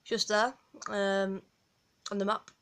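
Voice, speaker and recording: A woman's voice: mid-range voice, little pitch movement, slow pace, a bit bright. A woman with a Yorkshire accent gives a rambling, casual walkthrough of a game from home, full of ums and restarts. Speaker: gaming guide creator.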